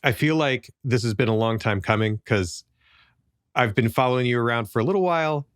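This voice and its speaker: A man hosting a podcast interview, speaking in a relaxed, conversational way from the United States, close to the mic. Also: medium pitch, brisk, clean tone, dry recording. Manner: relaxed, conversational, a few restarts. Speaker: podcast host. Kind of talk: casual interview.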